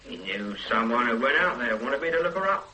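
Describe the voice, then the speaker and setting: An actor playing a blunt, unsentimental ex-soldier son in a radio drama, offhand and dismissive. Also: male voice, medium pitch, expressive, fairly quick, pushed and bright, a little hiss or hum, rough recording. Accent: Northern English. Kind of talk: 1970s radio drama acting.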